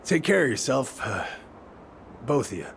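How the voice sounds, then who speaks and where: An actor playing a kindly, awkward older man in a video game, halting and rambling as he speaks. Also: medium pitch, big pitch swings, vocal fry, fairly bright tone, a little hiss or hum. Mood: wistful, awkward. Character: kindly, awkward, wistful older family friend named Sam. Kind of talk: naturalistic video game acting.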